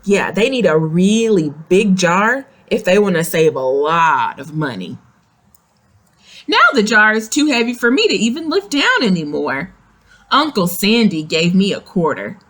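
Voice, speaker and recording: A woman reading a picture book aloud to young children, expressive and explanatory, speaking to them directly. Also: mid-range voice, a little slow. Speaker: children's story reader. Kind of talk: animated read-aloud of a picture book with asides to young listeners.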